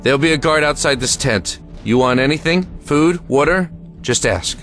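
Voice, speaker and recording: A man's voice: on the high side, moderate pace, fairly bright tone, lightly acted. American army lieutenant, played by a man, music behind. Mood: questioning, firm. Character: weary, guarded American army lieutenant, male, commanding. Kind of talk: audio drama acting. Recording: music under the voice.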